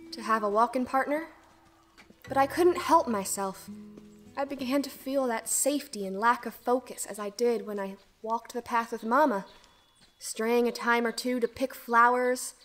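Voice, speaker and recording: An earnest, dutiful young girl acted by a woman with a fairly high voice. She tells her own storybook tale in formal first-person narration, with soft music underneath.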